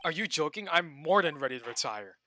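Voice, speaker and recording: A young man streaming a video game, joking and excitable, swinging between loud outbursts and low muttering. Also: fairly quick, slight background noise. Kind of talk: casual gameplay commentary.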